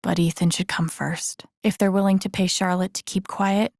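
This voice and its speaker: A woman playing a thoughtful, wry young game protagonist, musing to herself in low-key introspective lines. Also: little pitch movement, normal speed, a little mellow, dry recording, studio quality, close to an everyday voice. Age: young adult.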